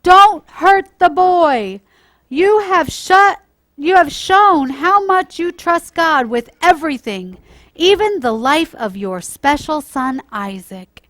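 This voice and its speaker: A woman's voice: high voice, expressive, takes it slowly, fairly bright tone, dry recording, pitched above the performer's own voice, full character voice. A woman voicing a commanding, benevolent angel from a children's Bible story, proclaiming emphatically. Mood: urgent, commanding.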